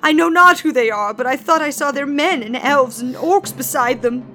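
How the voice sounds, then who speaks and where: A haunted young hobbit, as a woman reads him, speaking in a slow, dreamlike murmur as if half elsewhere. Her voice stays bright, with a faint bed of music underneath. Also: high-pitched, expressive, voice pushed up. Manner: dreamlike, distant delivery.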